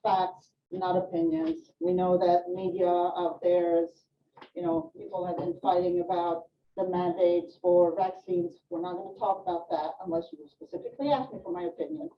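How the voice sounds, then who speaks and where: A woman's voice: fairly low pitch, moderate pace. A middle-aged woman talks through a live presentation as a nurse educator, casual and full of fillers and asides. She is recorded from across an echoey hospital meeting room, so the sound is distant and muffled. A faint noise runs underneath. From Sweden and France. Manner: chatty, informal, many fillers and asides.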